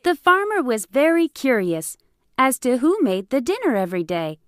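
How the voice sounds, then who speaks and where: A woman narrating a scripted children's fairytale as an expressive storyteller. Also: high voice, normal speed, dry recording. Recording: music bed behind.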